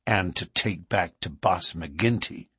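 A male narrator plays a careworn older man from a storybook, guarded and bitter. The voice is low and husky, hesitant, and swings widely in pitch when anguish breaks through. It is recorded close and dry. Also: big pitch swings, a little slow, no echo, exaggerated voice. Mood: sad, bitter. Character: cautious, bitter older man, troubled lodge brother. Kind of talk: audiobook character dialogue.

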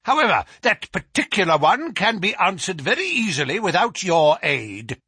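A man voicing an imperious, malicious old professor with sharp, sneering questions in a storybook reading. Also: sing-song, a little slow, slightly rough, no room sound, clean studio sound, exaggerated voice. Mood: sharp, accusing. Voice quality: high, screaming when roused. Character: malicious old male professor, imperious, erupting into screaming rage. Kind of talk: dramatised audiobook reading.

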